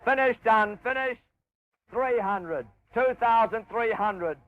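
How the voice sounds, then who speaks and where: High-voiced auctioneer calling bids. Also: male voice. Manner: drawn-out, projected calling. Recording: dull old location tape.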